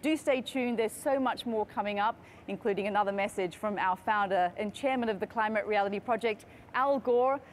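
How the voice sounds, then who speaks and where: A woman hosting a live broadcast event, reading scripted links in a measured, earnest announcer style. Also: mid-range voice, a little hiss or hum. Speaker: livestream event host.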